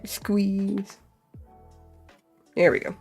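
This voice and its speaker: A woman's voice: medium pitch, little pitch movement, extremely slow, creaky, darkish tone, soft background music. A young woman chatting casually as she crafts, thinking aloud and filling her talk with "like.". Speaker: crafting vlogger. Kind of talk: casual chat while crafting.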